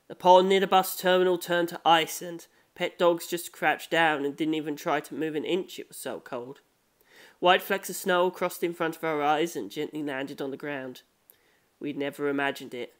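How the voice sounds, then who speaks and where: A narrator reading a novel aloud in a measured, scripted storytelling manner. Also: mid-range voice, a bit bright.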